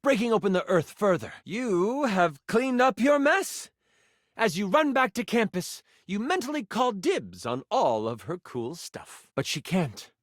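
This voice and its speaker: Casting: theatrical game master for a video game, vivid dramatic narrator, very animated delivery, close dry sound. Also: male voice, high voice, a little slow, no echo, heavily acted. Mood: dramatic, wry. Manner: theatrical, vivid, second-person storytelling. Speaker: voice actor playing a game character. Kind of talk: dramatic tabletop-style storytelling narration.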